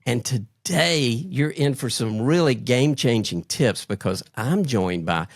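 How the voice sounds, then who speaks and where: A man's voice: medium pitch, animated, unhurried, no echo, clean studio sound. A middle-aged man hosting an interview show, speaking conversationally as he introduces and questions his guest. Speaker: podcast host.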